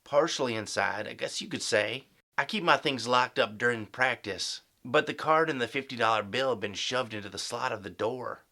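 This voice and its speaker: A man playing an earnest, polite high school football player in an audio drama, sincere and mild. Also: moderate pace, bright, forceful tone.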